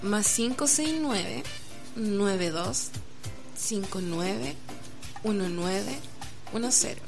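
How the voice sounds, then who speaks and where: A woman's voice: medium pitch, lively intonation, drawn-out words, gravelly, music in the background. A woman with a Chilean accent hosting a casual radio chat in a playful, teasing way. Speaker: radio host.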